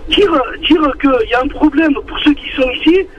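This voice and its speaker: A man with a southern French accent calling into a radio show, speaking earnestly with many halting restarts over a muffled phone line. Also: extremely high pitch, a little hiss or hum. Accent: southern French, Marseille-like. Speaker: caller. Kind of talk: phone-in opinion on air. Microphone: telephone.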